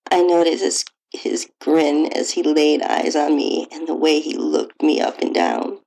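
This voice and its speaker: A woman narrating a first-person erotic story in an intimate, breathy way, often dropping toward a whisper. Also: low-pitched, fairly even intonation, a little slow, dark tone, low-quality recording. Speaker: podcast host reading own stories.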